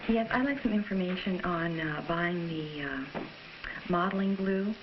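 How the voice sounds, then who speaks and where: A woman's voice: lowish voice, a little slow. A woman, a mother in family conversation, recorded for a documentary-style film. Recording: muffled, noisy old educational film soundtrack.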